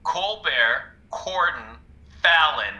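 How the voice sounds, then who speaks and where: An actor playing a boastful publicist rattling off press bookings in a comedy. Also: male voice, a little high, lively intonation, sharp tone, muffled recording, exaggerated voice. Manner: salesy, rapid name-dropping. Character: hustling, boastful male show publicist.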